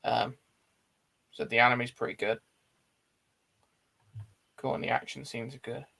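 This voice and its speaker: Creaky-voiced British man, blunt and informal. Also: medium pitch, level tone, unhurried, bright, forceful tone. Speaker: host of an online film show. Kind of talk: casual chat, reading out viewer comments.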